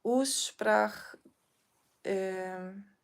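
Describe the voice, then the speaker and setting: A woman's voice: medium pitch, extremely slow. A Swiss woman with a Swiss accent, a language teacher answering viewers' questions on a livestream, friendly and hesitant, with frequent fillers. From Switzerland.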